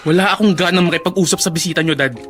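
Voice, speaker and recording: A woman's voice: on the low side, racing, faint music behind, natural voice. A woman playing a resentful, defiant young son in a melodramatic radio drama. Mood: cold resentment.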